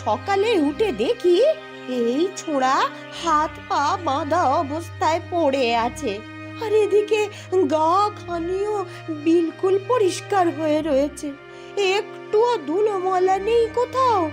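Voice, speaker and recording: An excitable old village granny, put on by a woman for a cartoon. Her voice is very high and she fusses and exclaims, with music behind. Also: takes it slowly, heavily acted. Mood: agitated, fussing. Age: older. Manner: exclamatory, fussing.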